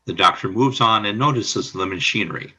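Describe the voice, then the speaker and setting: A man narrating the stage action of an amateur radio play in an even reading. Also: lowish voice, a little fast, husky, poor audio.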